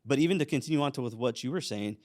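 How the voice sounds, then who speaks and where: A man's voice: medium pitch, animated, rapid-fire, a little mellow. A man co-hosting a church podcast, easygoing and self-deprecating in casual chat. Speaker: podcast co-host.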